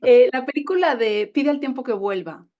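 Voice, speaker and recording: A woman's voice: high voice, normal speed, dark tone, muffled recording. A woman novelist with a Mexican Spanish accent, talking about her book in a casual, enthusiastic way, with many restarts, on a video call. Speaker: novelist guest. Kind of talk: casual conversation over a call.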